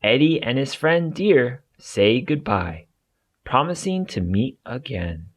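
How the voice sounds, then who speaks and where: A man narrating a children's storybook aloud in an expressive way for young listeners. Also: mid-range voice, slow pace.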